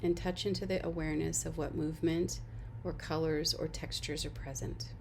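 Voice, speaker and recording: A woman leading a guided body-scan meditation as an instructor, giving gentle, unhurried instructions and soft invitations. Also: low voice, steady tone, creaky, slight background noise.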